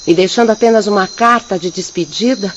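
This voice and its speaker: A woman acts out a devout young peasant woman's spirit in a radio drama. She is gentle, earnest and consoling, at a medium pitch. The recording is somewhat muffled and faintly noisy. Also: a little fast, natural voice. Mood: sorrowful.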